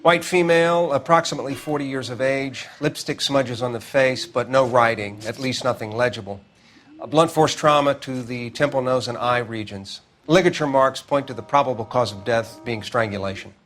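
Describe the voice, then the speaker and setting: A man's voice: medium pitch, normal speed, fairly bright tone, natural voice. A man playing a composed coroner in a video game, speaking in a precise, detached, formal way. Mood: clinical, detached. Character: clinical, composed male coroner.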